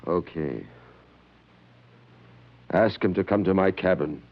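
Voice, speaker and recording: An actor playing a stern, suspicious spaceship captain in an old radio drama, speaking in a commanding, interrogating manner. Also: male voice, deep voice, slow. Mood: stern, probing. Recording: muffled old radio recording.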